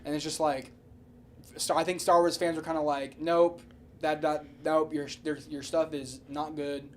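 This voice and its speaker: Higher-pitched man, animated podcast chatter. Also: normal speed. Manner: animated, rambling, heavily slangy and profane. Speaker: podcast co-host. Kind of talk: casual pop-culture podcast chat.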